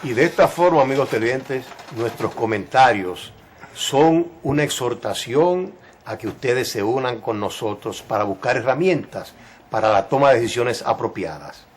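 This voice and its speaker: A man with a medium-pitched voice addresses television viewers. He delivers a scripted promo for a programme. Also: lively intonation, normal speed, husky. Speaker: TV commentator.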